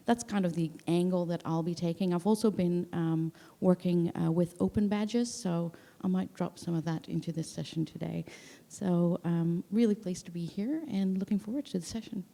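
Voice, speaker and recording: A woman's voice: fairly low pitch, gravelly, dark tone. A woman from the Netherlands, a learning designer introducing herself on a panel in a friendly, businesslike way. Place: conference hall, seated on a sofa. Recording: reverberant conference hall.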